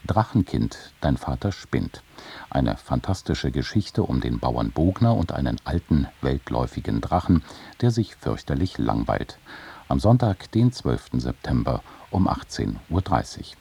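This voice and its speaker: Male radio presenter, standard German accent, extremely low pitch, gravelly, scripted announcement. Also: sing-song, unhurried, mellow.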